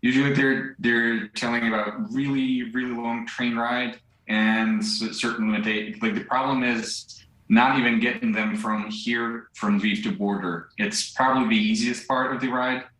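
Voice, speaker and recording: Halting Ukrainian man, echoey remote link. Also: mid-range voice, steady tone, fairly bright tone. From central Ukraine. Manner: halting, searches for words, restarts. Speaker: volunteer driver interviewed live. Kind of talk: live remote news interview.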